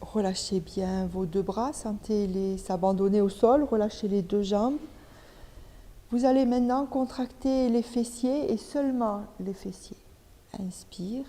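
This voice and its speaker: A woman leading a guided relaxation as a yoga teacher, giving step-by-step instructions with pauses between them. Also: mid-range voice, mellow. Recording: long reverberant hall echo.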